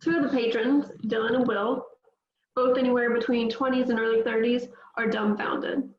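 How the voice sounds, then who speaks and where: Woman narrating a script table read; slightly high voice, roomy sound. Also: steady tone, normal speed, highs cut off, a little hiss or hum. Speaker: narrator reading stage directions.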